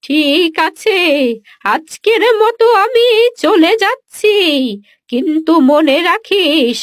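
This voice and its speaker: A woman acts out an old village mother in a cartoon tale. The voice is extremely high and drags slowly through plaintive, scolding, pleading speech. Music plays behind it. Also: lively intonation, no room sound, studio quality, full character voice. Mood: aggrieved, scolding. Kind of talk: dramatised cartoon story dialogue.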